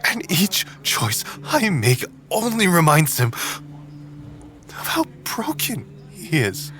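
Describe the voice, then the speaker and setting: A man playing a big, earnest, lovelorn tree being, despondent and drunk, speaking in a brooding, halting way in an audio drama. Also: fairly high pitch, wildly swooping pitch, a bit bright, full character voice. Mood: despairing, stubborn. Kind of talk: emotional audio drama acting. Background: music.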